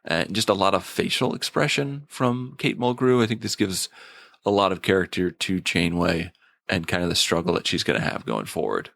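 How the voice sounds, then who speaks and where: A man giving a casual episode review in a thoughtful, slightly halting manner, often repeating a word as he goes. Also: deep voice, expressive, creaky, a bit bright, dry recording.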